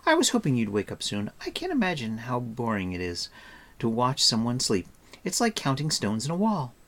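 A man's voice: medium pitch, wildly swooping pitch, normal speed, fairly bright tone, pitched above the performer's own voice. A man voicing a chattering, scolding, breezily confident young girl in a storybook, talking in a breathless rattle. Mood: teasing, scolding.

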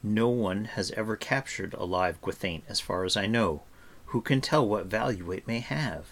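A man's voice: fairly low pitch, moderate pace. A man reading a storybook as a kind, determined youth who speaks earnestly and firmly. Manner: earnest, firm.

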